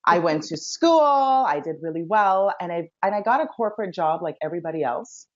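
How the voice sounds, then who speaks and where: A woman from India talks as a guest on a casual interview show, telling her story with upbeat enthusiasm. Her voice sits fairly low, and the sound is dull and lo-fi. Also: expressive, a little fast. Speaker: guest, life coach.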